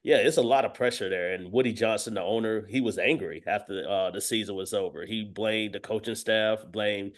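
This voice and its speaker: A man's voice: fairly low pitch, moderate pace, hardly any pauses. A man talking as a guest sports analyst, giving conversational football commentary sprinkled with verbal tics like "you know".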